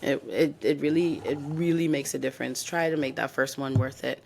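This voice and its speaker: A woman's voice: rumbling low, fairly even intonation, a little fast, gravelly, darkish tone, a little hiss or hum. A young adult woman from the Bahamas, co-hosting a podcast and candidly telling her own story and giving advice. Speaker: podcast co-host. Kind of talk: casual podcast chat.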